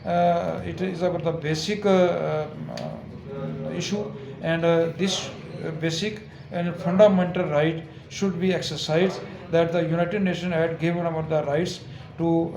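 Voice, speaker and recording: Halting older advocate in an echoey crowd. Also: male voice, on the high side, flat delivery, vocal fry. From Azad Kashmir. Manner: halting, many fillers and restarts. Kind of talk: interview answers.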